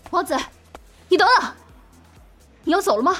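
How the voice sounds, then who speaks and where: A woman's voice: very high-pitched, animated, normal speed, pushed and bright, full character voice. A woman playing a brazen, possessive young woman in love, taunting and volatile, in a Chinese audio drama. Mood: desperate, upset. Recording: music behind the voice.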